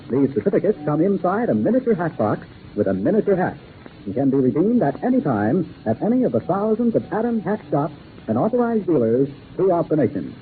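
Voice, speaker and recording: A man reading sponsor announcements in a polished, emphatic 1940s radio advertising style. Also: on the high side. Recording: tinny 1940s radio recording with hiss.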